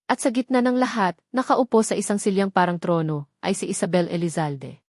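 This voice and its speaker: A woman storyteller narrates a scripted romance in a dramatic style. Her voice sits at a medium pitch. The sound is dry, with no noticeable room. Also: a little fast, a little mellow, no room sound.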